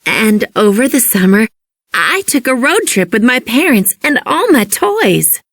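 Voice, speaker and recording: A woman's voice: on the high side, very animated, measured pace, fairly bright tone, no echo, clean studio sound, full character voice. A woman voicing a cheerful, kind little kindergarten girl in a children's read-along, with a friendly, simple manner.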